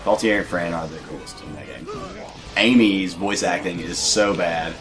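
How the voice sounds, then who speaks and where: A young man with a very deep voice, calmly giving his game opinions and stopping to hunt for names. Loud game music plays behind him. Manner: relaxed, rambling opinions with pauses to recall names. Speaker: let's play commentator. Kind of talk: casual gaming chat.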